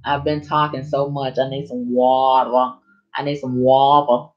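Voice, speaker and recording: Woman live streamer, slow, rambling, hoarse, dull lo-fi audio. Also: rumbling low, level tone. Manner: rambling, chatty, addresses viewers directly. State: hoarse from long talking. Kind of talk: casual live stream chat.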